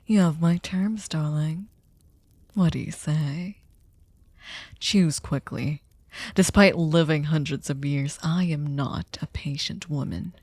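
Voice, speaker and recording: A woman playing a sadistic, possessive, centuries-old vampire lord in an intimate ASMR roleplay, speaking theatrically and tauntingly, often dropping into breathy whispers. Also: low voice, takes it slowly, a little mellow, dry recording. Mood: gloating, commanding.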